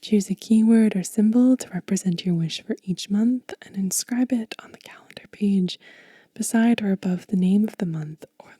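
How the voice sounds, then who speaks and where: A soft-spoken woman doing an ASMR show and tell, whispering gently and without hurry close to the microphone. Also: medium pitch, dry recording. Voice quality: breathy, whispery, hushed. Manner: gentle, unhurried. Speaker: ASMR creator and host.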